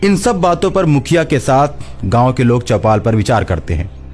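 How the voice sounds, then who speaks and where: A man's voice: mid-range voice, sing-song, normal speed, darkish tone, soft background music. A man narrating a scripted moral story as a storyteller.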